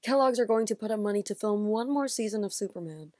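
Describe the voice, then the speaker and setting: An actress playing a confident, alluring and mysterious stage actress who comes as a client in an audio drama, poised and dramatic. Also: female voice, medium pitch, fast talker, darkish tone, no echo, clean studio sound, lightly acted. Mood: wistful, confiding.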